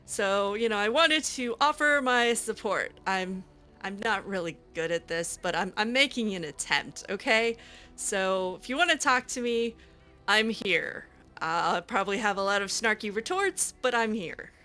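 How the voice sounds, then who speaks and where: Gaming roleplay host, woman, bright tone, hesitant and rambling, a bit snarky. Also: medium pitch, expressive, measured pace. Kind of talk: roleplaying a character in a video game.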